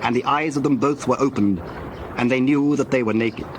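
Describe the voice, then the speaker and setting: A man narrating a dramatized scripture reading in a measured, solemn storytelling manner. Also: medium pitch, noisy background.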